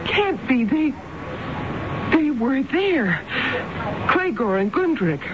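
An actress playing a frightened, fragile housewife in a 1970s radio drama, halting and insistent. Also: female voice, sits high, sing-song, full character voice. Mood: bewildered. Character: frightened, fragile housewife seeing space people. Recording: muffled, noisy radio recording.

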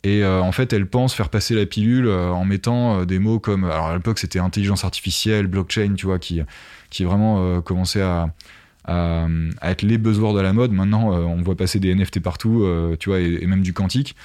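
A man's voice: low voice, fairly even intonation, fairly quick, a little mellow, smooth. A man talking casually in an interview as a podcaster, explaining his ideas conversationally with asides to the listener.

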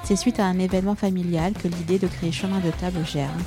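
A woman's voice: lowish voice, fairly even intonation, fast, over music. A woman hosting a food podcast, reading an introduction and then asking questions in a curious, casual way. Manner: warm, curious questioning with fillers. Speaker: podcast host.

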